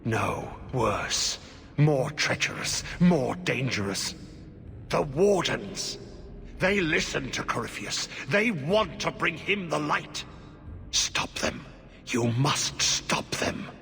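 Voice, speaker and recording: An actor playing a half-mad, corrupted old warden in a video game, haunted and cryptic, speaking in halting fragments. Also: male voice, on the high side, very animated, creaky, bright, forceful tone, full character voice. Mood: agitated, fearful. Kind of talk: video game cutscene acting.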